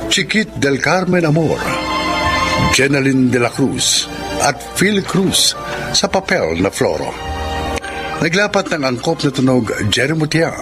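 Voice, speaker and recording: A man's voice: medium pitch, very animated, a little slow. A man announcing a radio drama in a formal, theatrical, scripted style. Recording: music bed under the voice.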